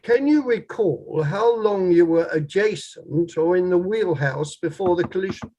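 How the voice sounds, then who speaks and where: A man's voice: high-pitched, lively intonation, a little slow, mellow, dull audio. A man playing a formal, methodical lawyer putting precise questions in a table read of an inquiry play. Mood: methodical, probing.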